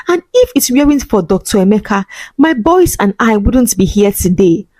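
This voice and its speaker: Story heroine: exhausted young mother, firm, reproachful, mid-pitched, played by a female narrator. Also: sing-song, moderate pace, mellow, no room sound. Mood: hurt, firm.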